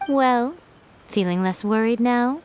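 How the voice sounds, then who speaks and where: A woman's voice: fairly high pitch, slow pace, heavy background noise. A woman playing a composed, teasing, sly priestess and publisher in a video game. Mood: knowing. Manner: composed, playful, knowing.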